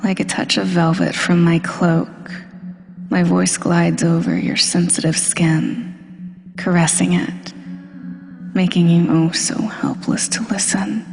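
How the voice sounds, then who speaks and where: Smug female supervillain , voiced by a woman for hypnosis roleplay. Lowish, lightly creaky, breathy voice, deliberate and domineering, slipping into whispers. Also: little pitch movement, a little mellow, faint music behind. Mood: hypnotic, confident. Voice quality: breathy, often dropping to a whisper. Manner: slow, deliberate, hypnotic, commanding. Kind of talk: slow scripted hypnosis roleplay audio. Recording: long hall-like reverb.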